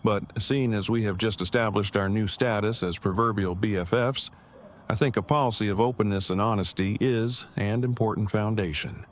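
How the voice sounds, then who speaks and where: Audio drama role: man acting as awkward, verbose, earnest male survivor. Low pitch, formal precise delivery, noisy cricket-filled night backdrop. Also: moderate pace, close to an everyday voice. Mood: awkward, careful. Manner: stiffly formal, long-winded, precise wording.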